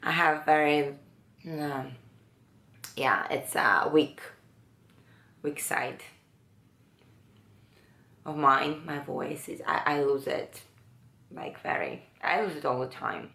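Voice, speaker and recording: A woman with a low, raspy voice chatting slowly through a makeup tutorial, pausing often. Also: bright, forceful tone, roomy sound. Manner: unhurried, musing, with many pauses and fillers. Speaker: beauty vlogger. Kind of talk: casual makeup tutorial chat.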